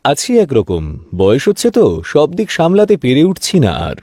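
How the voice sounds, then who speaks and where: A man's voice: on the high side, sing-song, moderate pace, dark tone, no echo, studio quality. A man playing an ageing, principled sweet-shop owner and stern father in a cartoon tale, speaking earnestly and emphatically. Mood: genial. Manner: earnest, indignant when crossed, emphatic repeated questioning. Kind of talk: cartoon fairy-tale voice acting.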